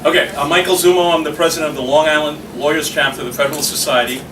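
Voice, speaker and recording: A man's voice: on the high side, fairly even intonation, rapid-fire. A man, speaking as chapter president and introducing a debate to an audience, joking between fillers. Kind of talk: public speaking at an event. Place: small meeting room. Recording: echoey room, far from mic, noisy.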